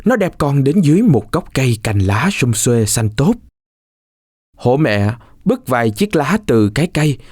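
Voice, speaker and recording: A man narrating a children's folk tale from a script in an expressive, measured storytelling manner. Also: medium pitch, dry recording, studio quality.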